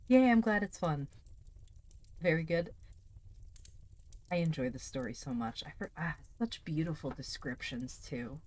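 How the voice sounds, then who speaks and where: A woman hosting a livestream, chatting casually and calmly with her viewers as if greeting a class. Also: sits low, unhurried, pauses often. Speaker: livestream host reading stories aloud.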